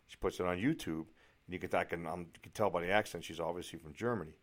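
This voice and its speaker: A man's voice: sits low, fast. A middle-aged American man vlogging in a casual, anecdotal way, rambling and exasperated, with some mild swearing. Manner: rambling, exasperated, mildly profane.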